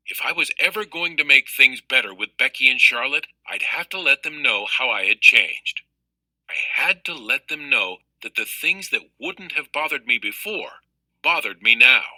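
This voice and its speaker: A man narrates a children's story in a very bright, forward voice with a husky edge. His delivery is expressive and animated, with pitch that moves around freely. The sound is dry and close. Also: a little slow, no echo, low-quality recording. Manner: expressive, acts out dialogue. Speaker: audiobook reader. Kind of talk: scripted narration of a children's book read aloud.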